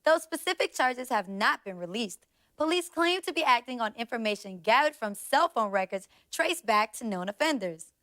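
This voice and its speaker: A woman's voice: on the high side, lively intonation, moderate pace, a bit bright. An actress playing a composed female TV news reporter, reading a scripted report in a formal manner.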